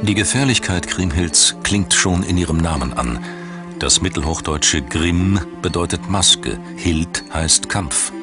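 A man narrating a scripted historical documentary in a solemn, measured and dramatic storytelling manner. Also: sits low. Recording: studio voice-over over music. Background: background music.

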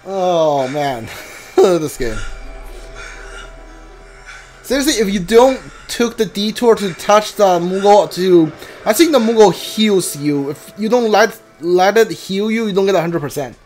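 High-voiced man doing game commentary. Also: lively intonation, a little slow, a little mellow, soft background music. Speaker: video game streamer. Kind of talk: casual gameplay commentary. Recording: clear background noise.

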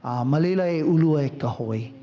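Mellow-voiced male panelist, hesitant. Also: on the high side, animated, a little fast. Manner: hesitant, many filler sounds. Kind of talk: panel discussion answer. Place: large conference hall. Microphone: handheld mic. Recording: muffled conference PA sound.

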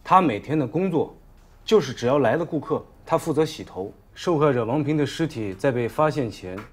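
An actor in his thirties playing a composed public prosecutor in a film, speaking in a measured, formal, articulate manner. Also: mid-range voice, lively intonation, close to an everyday voice. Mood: firm, explanatory. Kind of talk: formal courtroom reading and argument in a film.

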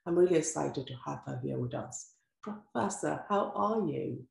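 Low gravelly woman hosting, echoey room. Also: expressive, normal speed, a little mellow. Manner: effusive, rhetorical questions. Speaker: host. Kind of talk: enthusiastic interview hosting. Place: room with sofa, indoors. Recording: echoey room with faint music.

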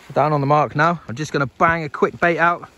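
A man in his thirties chatting casually through a fishing vlog, relaxed and full of fillers, outdoors on a beach at night and later at home. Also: fairly high pitch, brisk, lo-fi sound, loud music behind the voice. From England. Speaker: angling vlogger.

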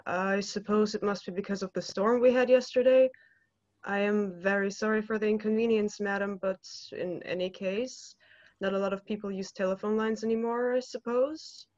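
A man's voice: sits high, steady tone, normal speed, no echo, close to an everyday voice. Young man acting a polite operator, dull call sound. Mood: polite apologetic. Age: young adult. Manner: courteous, hesitant, formal. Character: polite, apologetic, unhurried telephone operator. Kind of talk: stage-style dramatic acting. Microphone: computer video call, wearing headphones.

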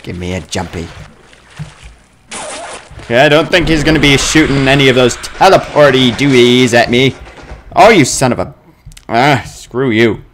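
A man doing casual let's play commentary, excitable and exclamatory, with swearing and silly made-up words. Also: medium pitch, normal speed, pauses often. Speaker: gamer playing a first-person shooter. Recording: game gunfire and noise behind voice. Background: video game combat sounds.

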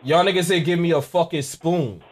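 A man's voice: a little high, fairly quick, slightly rough. A young American man making a prank call in brash, slangy, confrontational banter, swearing and repeating himself. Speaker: prank caller and streamer. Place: indoor gaming setup.

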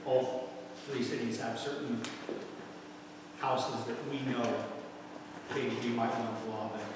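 Fire officer, brief answers, middle pitch, far from the mic in a reverberant bay with noise. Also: male voice. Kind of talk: answering questions at a public meeting. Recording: echoey hall, distant mic, background noise.

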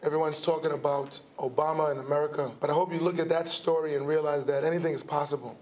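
A man, a football player, talking in an upbeat, relaxed way in an outdoor interview. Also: a little high, quick pace.

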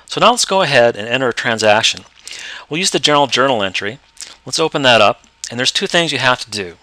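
A man explaining step by step how to use accounting software in a screen-recorded tutorial. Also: mid-range voice, lively intonation, fast, no echo, low-quality recording. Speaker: software trainer.

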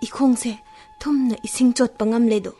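Weary female flower plant, husky, very fast, plaintive, radio drama, faint music. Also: fairly high pitch, steady tone, mellow. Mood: weary, wistful. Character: weary, frail talking ground flower, female, longing for water. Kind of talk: radio play acting.

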